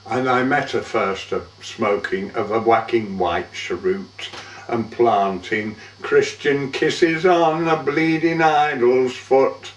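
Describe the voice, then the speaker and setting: A man giving a measured, theatrical recitation of a poem as a monologue, drawing out the ends of lines. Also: medium pitch. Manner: measured, theatrical, drawn-out line endings. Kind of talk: dramatic poetry recitation, monologue. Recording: dull, echoey room, far from mic.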